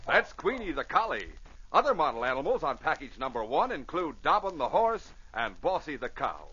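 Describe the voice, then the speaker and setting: A man delivers punchy, scripted old-time radio announcing. His fairly high voice is forceful, with a raspy edge and emphatic swings. The recording is dull and vintage. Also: very animated, moderate pace. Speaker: announcer and narrator.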